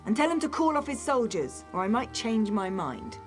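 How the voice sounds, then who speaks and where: Casting: rebellious young noble daughter, wry and headstrong, slightly high voice, video game drama with music behind. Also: female voice, sing-song, moderate pace. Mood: determined. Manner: defiant, wry.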